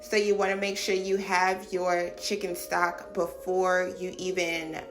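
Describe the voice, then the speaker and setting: A woman's voice: lowish voice, little pitch movement, measured pace. A woman, a cooking vlogger narrating her video in a chatty, upbeat way with frequent fillers and laughs. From United States. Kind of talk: casual vlog narration. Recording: roomy echo with background music.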